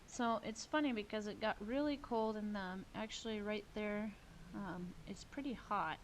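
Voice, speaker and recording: A woman narrating a video of her horse's training in a relaxed, halting way with many fillers, sniffling a little. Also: mid-range voice, a little hiss or hum, low-quality recording. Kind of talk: casual voice-over commentary.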